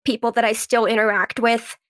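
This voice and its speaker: Girl in her 20s w a cold, highish voice, chatty interview talk, says like a lot. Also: little pitch movement, brisk, fairly bright tone. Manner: youthful, conversational, peppers talk with "like". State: has a cold. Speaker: guest, actress and writer. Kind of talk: casual interview chat.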